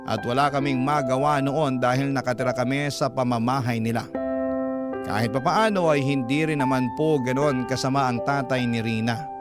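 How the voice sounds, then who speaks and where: Casting: male Filipino radio storyteller, mid-pitched, earnest scripted narration, loud music bed underneath. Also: moderate pace. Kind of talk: scripted emotional first-person narration. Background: prominent background music.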